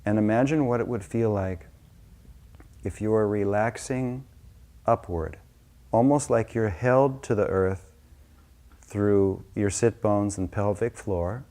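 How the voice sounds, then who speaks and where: A man leading a guided meditation as a teacher, giving slow, gentle, unscripted instructions with soft-spoken pauses. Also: lowish voice.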